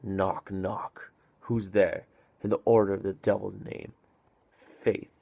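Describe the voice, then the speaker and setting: Man acting a grumbling, theatrical low-voiced porter. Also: level tone, slow pace, creaky, mellow, full character voice. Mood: mocking, irritated. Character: drunken grumbling male gatekeeper porter, mockingly welcoming sinners. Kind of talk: Shakespearean stage monologue.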